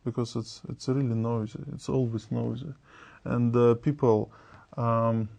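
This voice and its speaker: A man from Russia with a Russian accent, a candidate in a speaking exam, talking in halting, broken phrases. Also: mid-range voice, little pitch movement, creaky, a little mellow.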